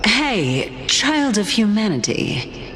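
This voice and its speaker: An actress playing a grave, aloof yet concerned female guardian in a video game, speaking solemnly and deliberately. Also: mid-range voice, very animated, background noise. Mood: challenging. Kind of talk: scripted video game voice acting. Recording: loud music behind the voice. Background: game music.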